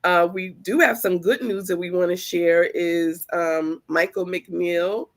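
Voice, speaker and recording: A woman hosting a podcast in a chatty, animated way, a little congested from the flu. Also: on the low side, a little slow. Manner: chatty, animated storytelling with laughs. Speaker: podcast host. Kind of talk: casual podcast chat.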